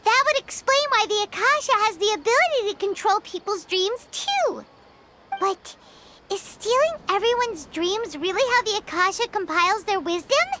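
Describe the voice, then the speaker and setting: A woman voicing a tiny, excitable, childlike fairy companion in a video game, inquisitive and exclamatory, speaking of herself in the third person. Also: very high voice, normal speed, a little hiss or hum, full character voice. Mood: thoughtful. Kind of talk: animated video game cutscene acting.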